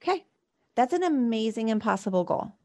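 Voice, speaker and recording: A woman's voice: on the high side, expressive, brisk, dark tone. A woman coach leading a live coaching session, reflecting the client's patterns back with probing questions and many "like" fillers.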